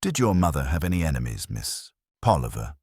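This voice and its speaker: A man as a deep, unruffled police inspector. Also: brisk, husky, no room sound, clean studio sound, full character voice. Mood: calm, probing. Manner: terse, calm, understated. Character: polite, dry, unruffled male police inspector.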